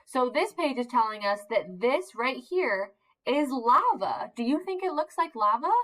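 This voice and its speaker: A woman teacher reading aloud to young children, expressive and encouraging, using simple emphasized words and pausing to ask questions. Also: on the high side, rough recording. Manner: encouraging, asks questions and pauses for answers. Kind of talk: interactive read-aloud lesson for young children.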